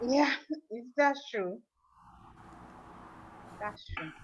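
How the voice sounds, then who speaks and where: A woman's voice: fairly high pitch, animated, very slow, lo-fi sound. A woman with a Nigerian accent, a coaching client, talking hesitantly and restarting her thoughts as she reflects. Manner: hesitant, restarts thoughts while thinking aloud.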